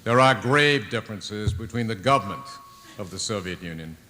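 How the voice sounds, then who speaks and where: A man on a panel giving precise, measured remarks on arms control at a public forum. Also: on the low side, animated, pushed and bright, slight background noise. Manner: precise, formal and deliberate. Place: large lecture hall. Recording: reverberant hall, 1980s recording.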